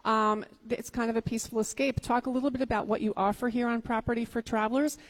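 A woman's voice: fairly high pitch, fairly even intonation, fast talker. A woman co-hosting a radio show, chatting casually as she interviews. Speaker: radio co-host.

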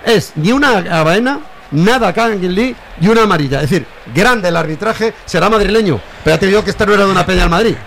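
Creaky, high-voiced man arguing about football. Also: lively intonation, fast, a bit bright, a little hiss or hum. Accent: Castilian Spanish. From La Mancha, Spain. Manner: argumentative, blunt, insistent. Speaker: football pundit. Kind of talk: heated panel debate.

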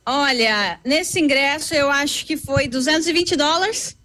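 A woman's voice: high voice, a little slow, sharp tone. Cheerful Brazilian woman with a southern accent. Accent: Brazilian Portuguese, southern (gaúcho). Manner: cheerful, confident. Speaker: fan interviewed. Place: outdoors outside a stadium.

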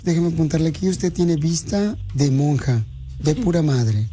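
A man's voice: on the high side, animated, moderate pace, background noise. A man hosting a radio prank call, playing a mock-serious interviewer with a teasing edge.

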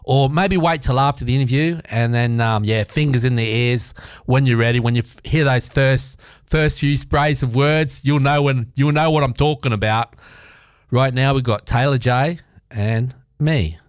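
A man's voice: medium pitch, normal speed, clear, resonant tone, dry recording. Australian man, radio host, rambling casual delivery, dry close sound. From South Australia. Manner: rambling, chatty, with many fillers. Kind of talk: casual community radio chat.

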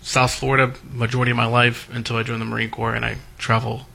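Man, 30s, born in Brazil; gravelly, bright, polite and plainspoken. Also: medium pitch, monotone, quick pace, a little hiss or hum, poor audio. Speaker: guest entrepreneur. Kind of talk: casual radio interview. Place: radio studio.

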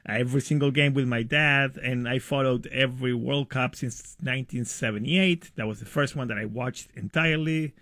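A man's voice: mid-range voice, normal speed, hardly any pauses, no room sound, clean studio sound. Argentine-accented middle-aged man, enthusiastic. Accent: Argentine Spanish. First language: Spanish. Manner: enthusiastic, warm storytelling with hesitations. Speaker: radio producer, guest. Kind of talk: casual radio interview.

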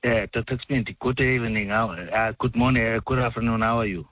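A man in his fifties from South Africa calling a radio show, speaking in a halting way with many fillers. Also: mid-range voice, fairly even intonation, dry recording. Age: 50s. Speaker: caller. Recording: tinny phone line.

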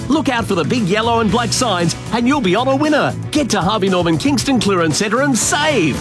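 A man's voice: sits high, expressive, brisk, over music. A man voicing an enthusiastic retail radio ad. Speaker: ad voice-over.

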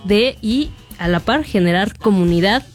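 A woman's voice: mid-range voice, animated, measured pace, soft background music. A woman co-hosting a broadcast show, chatting in a thoughtful, agreeable way. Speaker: co-host. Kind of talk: casual chat on a broadcast show.

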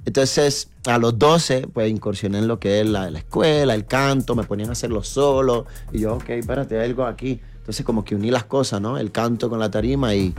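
A man's voice: medium pitch, brisk, few pauses, a little mellow, faint music behind. A middle-aged man from New York, a reggaeton artist talking casually as an interview guest, easygoing and full of fillers. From New York, United States. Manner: easygoing, storytelling, many fillers.

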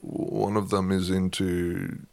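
A low-pitched middle-aged man chats easily as an interview guest. He is wry and self-deprecating and laughs often. The sound is close and dry, with no room around it. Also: flat delivery, takes it slowly, creaky, a little mellow, no room sound. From England. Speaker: guest, opera music head. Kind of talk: relaxed interview conversation.

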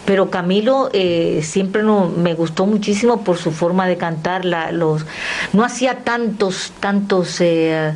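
A woman's voice: on the low side, a little slow, a little hiss or hum. An older woman, reminiscing as a guest and acting out remembered conversations as she goes. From Dominican Republic. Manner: animated storyteller, acting out remembered dialogue. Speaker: guest, television performer. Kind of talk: spoken reminiscence at an event.

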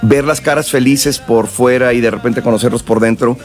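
Relaxed man, rambling. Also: mid-range voice, normal speed, soft background music. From northern Mexico and South Texas. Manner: relaxed, rambling, frequent fillers and restarts. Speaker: musician guest. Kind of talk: casual interview chat.

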